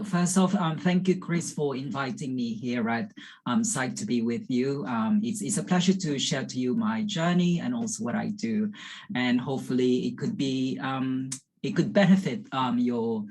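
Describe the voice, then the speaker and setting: A man with a Filipino accent talks through his background as a podcast guest. He hesitates often and restarts his sentences, and his voice sits at a middle pitch. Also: rough recording. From Philippines. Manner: hesitant, many ums and repeated words. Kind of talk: casual interview talk.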